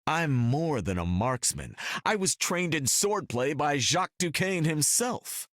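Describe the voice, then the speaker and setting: A man's voice: on the high side, very animated, unhurried, fairly bright tone, no room sound, studio quality, exaggerated voice. An actor playing a brash, bantering archer hero in a video game, proud of his skills. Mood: cocky banter.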